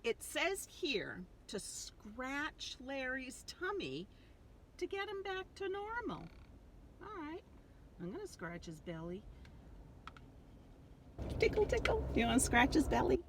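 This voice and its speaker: An older woman reads a picture book aloud for small children, with a fairly high voice that swings expressively. She takes her time and speaks affectionately to her listeners, over faint background noise. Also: wildly swooping pitch, slow, long pauses. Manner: affectionate, addresses young listeners directly. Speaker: grandmother reading picture books aloud. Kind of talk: animated read-aloud for young children.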